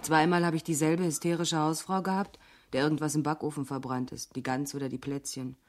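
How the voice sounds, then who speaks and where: A man's voice: on the high side, little pitch movement, fairly quick, close to an everyday voice. An actor playing a terse, dogged male investigator in a radio crime drama, speaking in a dry manner. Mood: dry, weary. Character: terse, dogged investigator working through phone numbers. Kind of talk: German radio drama acting.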